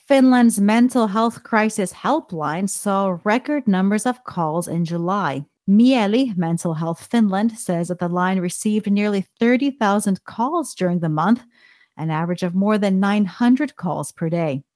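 A woman's voice: mid-range voice, unhurried, darkish tone, no room sound, clean studio sound. Woman co-hosting a news show. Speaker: news podcast co-host. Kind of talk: scripted news reading and casual banter.

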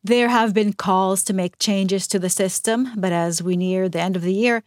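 Female podcast co-host, mid pitch, dry studio sound, even scripted read. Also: moderate pace, dry recording. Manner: clear, even, scripted reading. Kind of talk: scripted news review.

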